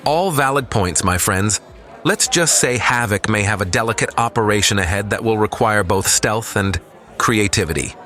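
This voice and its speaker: A man playing a confident, steady captain leading a crew in a sci-fi audio drama, measured and theatrical. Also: lowish voice, soft background music. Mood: assured, sly.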